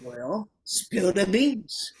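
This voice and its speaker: A woman's voice: medium pitch, big pitch swings, extremely slow, darkish tone, a little hiss or hum. A woman playing a grumbling, slangy old sprig of Christmas holly in a radio play, wisecracking and drawling. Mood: wry.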